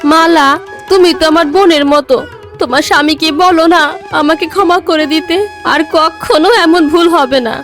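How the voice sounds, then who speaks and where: A woman voicing a remorseful village wife in a cartoon drama, with drawn-out, melodramatic pleading. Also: very high voice, steady tone, faint music behind.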